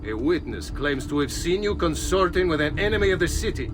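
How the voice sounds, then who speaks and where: An actor playing a bullying, aggressive city guard in a video game, barking threats with an Italian accent. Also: male voice, a little high, animated, brisk, lightly acted. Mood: threatening. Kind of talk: video game cutscene acting. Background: music.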